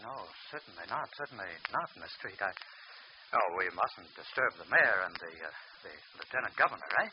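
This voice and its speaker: An actor playing a pompous, fussy district attorney in a 1940s radio drama, puffed up at first, then stammering and backpedaling. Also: male voice, on the low side, animated, brisk, natural voice. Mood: flustered. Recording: muffled old radio broadcast with hiss.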